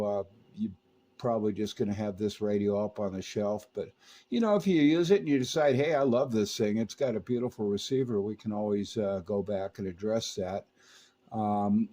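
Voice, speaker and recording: Folksy man with a mellow voice. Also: mid-range voice. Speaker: radio repairer and video host. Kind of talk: casual technical talk and product commentary.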